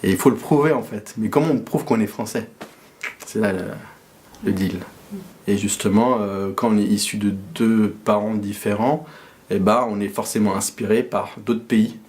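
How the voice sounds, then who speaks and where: A man's voice: lowish voice, steady tone, moderate pace, a little mellow. A young man from France, talking casually and reflectively as an interviewee, hesitant with many fillers and restarts.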